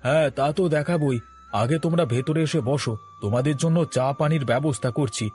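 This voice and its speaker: A man's voice: fairly high pitch, brisk, darkish tone, lightly acted. A man voicing an honest, humble village cloth trader in a moral-story cartoon, with an earnest, homely delivery. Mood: friendly, chatty. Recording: background music under the voice.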